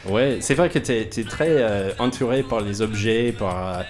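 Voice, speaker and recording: A low-voiced man hosts a conversational radio interview, with music playing behind him. French is not his first language, and he feels his way through sentences, repeating words as he searches for the next one. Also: normal speed, darkish tone. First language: not French, speaks it as a second language. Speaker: radio host interviewing guests.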